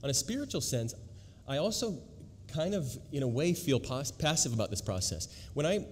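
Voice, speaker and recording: A man, a researcher of language newspapers, answering on a panel in a quick, reflective way with many restarts. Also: mid-range voice, very animated, darkish tone. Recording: long hall reverb, faint noise.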